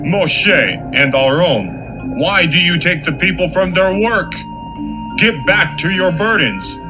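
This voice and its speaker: Highish male voice, imperious tyrant king of Egypt acted out, scornful, harsh, biblical audio drama, music behind. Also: lively intonation, takes it slowly. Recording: music under the voice.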